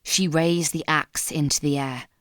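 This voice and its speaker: Woman reading a dramatic story, kinda low voice, somber n measured, no room echo at all. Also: fairly even intonation, clean studio sound. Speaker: narrator. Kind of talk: dramatic scripted story narration.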